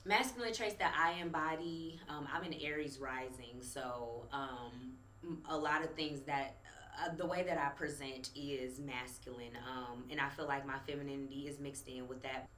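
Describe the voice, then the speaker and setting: A woman's voice: extremely low pitch, moderate pace, few pauses, fairly bright tone, slight background noise. Blunt woman in an echoey room. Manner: blunt, boastful. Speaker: panel guest. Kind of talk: casual panel chat.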